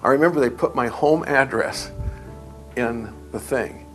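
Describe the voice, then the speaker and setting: A man's voice: mid-range voice, measured pace, soft background music. A man recalling past events in a documentary interview, telling anecdotes and quoting remembered conversations.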